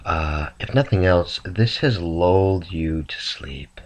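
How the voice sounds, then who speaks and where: A man sick and rambling softly to his camera late at night in a raspy, half-whispered voice. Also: low voice. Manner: slow, meandering, soft-spoken. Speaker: vlogger.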